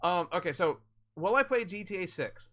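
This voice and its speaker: A man live-streaming a game, with a voice a little higher than most men's. He explains tech questions to viewers in a loose, rambling way, often restarting mid-sentence and stacking fillers. His tone is laid-back and chatty. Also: animated, moderate pace, fairly bright tone. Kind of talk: casual live-stream chat answering viewers.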